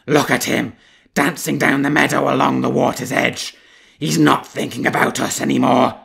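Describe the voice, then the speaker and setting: A man voicing a peevish, jealous male wolf courtier who growls his words slowly and with strain. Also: mid-range voice, little pitch movement, gravelly, fairly bright tone, husky, exaggerated voice. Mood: peevish, warning.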